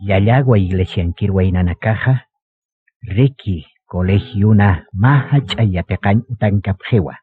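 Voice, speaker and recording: Male radio drama narrator, Bolivian accent. Measured, solemn delivery, husky and unhurried, muffled band-limited recording. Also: fairly low pitch.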